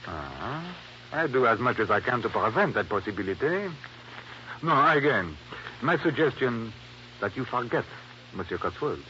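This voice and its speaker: A man's voice: mid-range voice, sing-song, normal speed, lightly acted. Man as a lilting, cautious police inspector. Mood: sly, cautious. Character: cautious, philosophical Haitian police inspector, smoothly evasive. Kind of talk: 1950s radio drama acting. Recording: muffled, noisy old radio recording.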